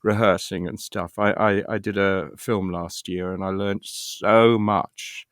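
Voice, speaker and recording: Man, British accent, middle-aged, low register, reflective, hesitant conversational phrasing, dry recording. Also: steady tone, measured pace, fairly bright tone, dry recording. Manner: hesitant, thoughtful, with repeated false starts. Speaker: interview guest, actor and writer.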